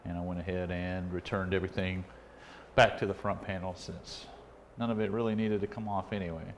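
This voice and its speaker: Male, middle-aged, deep register. Plain-spoken, casual tutorial host, workbench recording with light background noise. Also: flat delivery, a little fast. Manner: plain-spoken, step-by-step explaining. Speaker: repair video host. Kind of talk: casual hands-on repair tutorial. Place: indoor electronics repair workbench. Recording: clearly noisy workshop recording.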